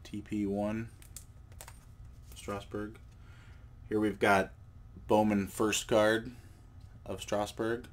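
A man with a cold hosting a card collecting channel, talking in a relaxed, rambling way with fillers and self-corrections while he shows cards at a table indoors. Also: on the low side, drawn-out words, pauses often. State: has a cold. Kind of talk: casual show-and-tell vlog.

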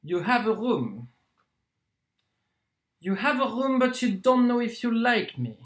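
An actor performs a proud itinerant torturer in a stage monologue. The delivery is unhurried and deliberate, full of weighted pauses. The mid-pitched voice swings widely up and down in a theatrical way. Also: big pitch swings. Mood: ingratiating, probing. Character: proud wandering torturer from Poland, wheedling then contemptuous.

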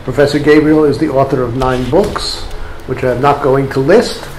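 A man's voice: mid-range voice, steady tone, normal speed, darkish tone. Older man introducing a speaker, echoey room. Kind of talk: formal introduction, partly read from notes. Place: wood-panelled seminar room, indoors. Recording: echoey room, faint background noise.

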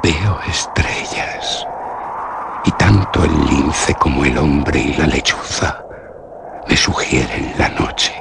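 Horror radio play: a feverish, lost, haunted man, Castilian Spanish, solemn and theatrical, low gravelly voice on a noisy recording. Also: slow, exaggerated voice. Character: anguished, feverish lost man narrating his own haunting.

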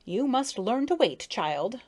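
A woman's voice: on the high side, big pitch swings, slow pace, a little mellow, pitched above the performer's own voice, full character voice. A woman plays an elderly female bee who teaches the young in a children's storybook. She is strict and fussy, lecturing with exasperated, sighing firmness. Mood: scolding. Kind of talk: children's storybook character reading.

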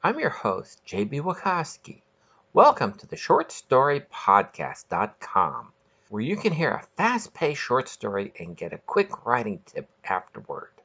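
A man's voice: mid-range voice, wildly swooping pitch, unhurried, gravelly, pushed and bright, husky, muffled recording. A man hosting and narrating a scripted short story podcast in a dramatic, emphatic way.

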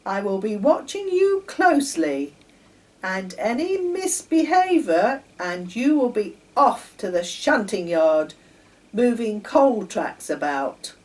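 Woman playing a bossy station master man, sharp and loud, heard from a distance. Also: a little high, voice pushed up. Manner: sharp, commanding. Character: strict male station master, sharp and officious.

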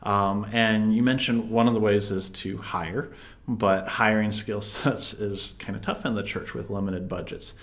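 A man's voice: on the low side, steady tone, a little slow, a bit bright. A man in his thirties hosting a video interview, asking questions in a friendly, easy way, heard through an earbud headset on a video call. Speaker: interview host.

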